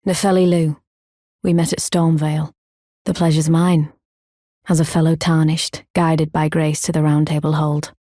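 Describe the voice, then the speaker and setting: A proud, earnest fantasy game warrior, with a lowish, dark and mellow voice. She speaks solemnly in formal, archaic phrasing, measured and stoic. The sound is dry and close. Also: flat delivery, dry recording. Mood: composed, formal. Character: proud, earnest woman warrior, grown, stoic and honour-bound. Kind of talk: video game character acting, formal archaic speech.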